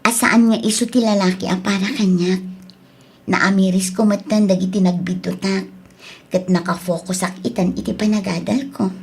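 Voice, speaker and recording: An actress playing a young student daughter in a radio drama, earnest and emotive, with a slightly husky voice and a Filipino accent. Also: female voice, medium pitch, steady tone, normal speed. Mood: gentle, sincere. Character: young student daughter, remorseful, firm about breaking up. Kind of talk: Ilocano radio drama acting.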